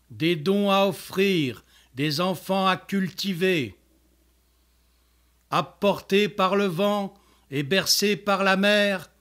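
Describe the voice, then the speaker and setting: A man reciting his own poem in a solemn, declamatory way with measured phrasing. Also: high voice, fairly bright tone.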